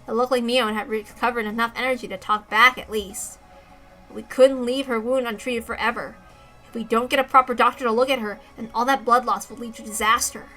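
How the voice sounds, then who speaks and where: Woman, story narrator, high voice, bright tone, reading aloud, music behind. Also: steady tone, fairly quick, poor audio. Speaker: reader of a visual novel story. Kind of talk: expressive story read-aloud, first-person narration.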